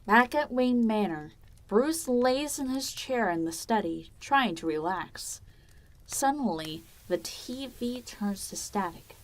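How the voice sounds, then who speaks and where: A woman's voice: a little high, animated, takes it slowly, low-quality recording. A woman narrating a scripted audio drama as storyteller.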